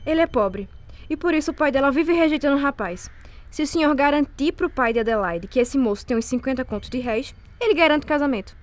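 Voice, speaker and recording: Woman playing a high-voiced, imperious young Brazilian heiress. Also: expressive. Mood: cold, commanding. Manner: cold, measured, imperious. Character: rich young woman of eighteen, cold, shrewd, commanding. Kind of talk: audio drama acting of a period novel.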